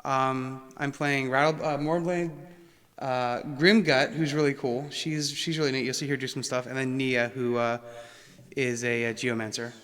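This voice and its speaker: A man's voice: medium pitch, fairly even intonation, normal speed, a bit bright. Man, mid-range voice, casual game host, playful, teaching at the table. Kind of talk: casual chat during tabletop gameplay. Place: indoors around a game table.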